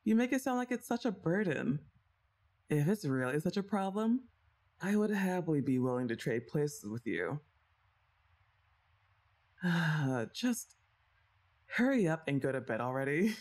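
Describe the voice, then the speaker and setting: Teasing young woman with a lively voice. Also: low-pitched, unhurried, pauses often. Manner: teasing, playfully bossy, sighs and soft laughs. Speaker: ASMR roleplay performer. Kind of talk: scripted ASMR roleplay, speaking to the listener.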